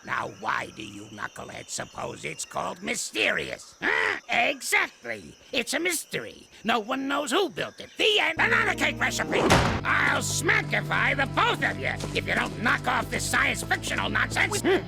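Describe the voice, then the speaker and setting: An actor voicing a grouchy, bossy old male ape in an animated cartoon, who scolds everyone in a gravelly voice. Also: extremely high pitch, moderate pace, heavily acted. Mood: grumbling. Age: over 60. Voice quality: gravelly, cackling. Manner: scolding, calls others knuckleheads. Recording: cartoon soundtrack with music behind.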